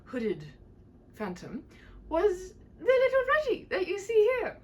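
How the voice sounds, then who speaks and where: A woman hosting a read-aloud, moving between playful chat and dramatic, animated storybook narration. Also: extremely high pitch, unhurried. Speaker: channel host reading a classic aloud. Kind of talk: chatty host talk and expressive storybook narration.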